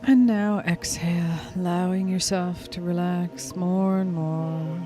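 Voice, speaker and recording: A woman leading a hypnotic guided meditation in a soothing, unhurried, gently instructing way. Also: on the low side, steady tone. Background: music clearly behind the voice.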